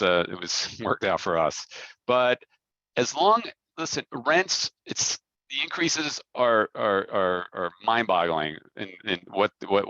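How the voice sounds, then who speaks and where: A man talking informally on a business conference call, halting as he repeats and rephrases his words. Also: fairly low pitch, bright, forceful tone, no echo, dull audio. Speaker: company head answering investor questions.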